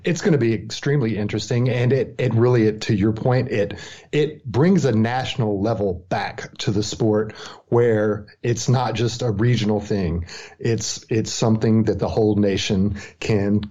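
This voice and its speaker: Man, middle-aged, mellow timbre, dull lo-fi recording, podcast co-host, measured explanatory chat. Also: fairly even intonation. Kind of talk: casual sports and culture commentary. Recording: dull, low-fidelity audio.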